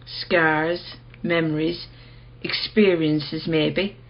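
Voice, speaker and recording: A low-voiced, husky woman in a radio drama, earnest and quietly challenging. She puts her questions in a measured, persistent way. The audio is a little dull. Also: fairly even intonation, exaggerated voice. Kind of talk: radio play acting.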